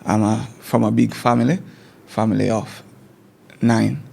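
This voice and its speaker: A man's voice: lowish voice, little pitch movement, creaky, darkish tone, breathy edge. A man in his forties with a Jamaican accent, a teacher recalling his schooling in a conversational interview, often repeating words as he thinks back. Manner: repeats words while recalling, measured storytelling. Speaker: guest teacher.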